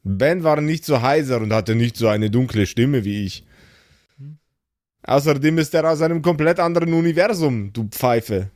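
Guy doing a mocking hoarse dark-voiced ally in a tabletop audio adventure, blunt and cheeky. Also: medium pitch, lively intonation, normal speed, no room sound, clean studio sound, natural voice. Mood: mocking, impatient.

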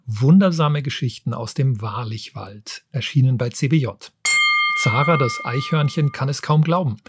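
A man's voice: mid-range voice, slightly rough, no echo, clean studio sound. A man hosting a book show, presenting reviews in a partly scripted narrating style. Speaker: book podcast host and reviewer.

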